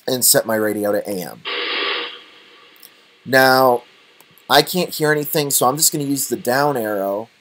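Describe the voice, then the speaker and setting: A man giving an unscripted software tutorial, thinking aloud in a halting, tentative, low-key way. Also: low-quality recording. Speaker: tutorial presenter.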